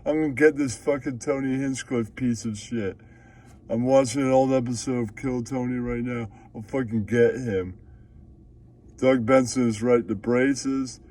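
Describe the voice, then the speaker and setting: A man's voice: mid-range voice, steady tone, warm tone, natural voice. A man playing a foul-mouthed, aggrieved puppet character who blusters indignantly in an improvised comic monologue. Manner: blustering, swearing, drawn-out indignation.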